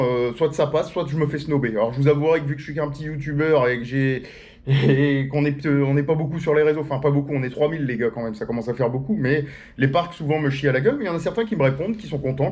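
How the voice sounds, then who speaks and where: A man answering viewer questions in a casual video, slangy and joking, talking to his audience like friends and often jumping into a higher pitch for emphasis. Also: fast, a little mellow. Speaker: theme-park YouTuber answering viewer questions. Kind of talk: casual chat, FAQ to camera.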